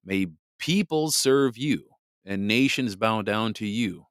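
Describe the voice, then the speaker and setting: A man reading the part of an old blind father blessing his son, slow and solemn. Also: fairly low pitch, animated, fairly bright tone, smooth, dry recording, clean studio sound, full character voice.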